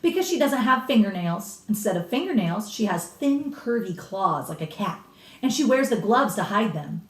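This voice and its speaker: A woman's voice: medium pitch, big pitch swings, moderate pace, voice pushed up, natural voice. A woman voicing a blunt, authoritative elderly grandmother from a storybook, explaining with emphatic relish and a snappish edge. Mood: instructive, emphatic. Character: cigar-smoking old grandmother, authoritative, blunt, relishing grisly witch lore. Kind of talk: storybook read-aloud character. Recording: roomy echo, distant microphone.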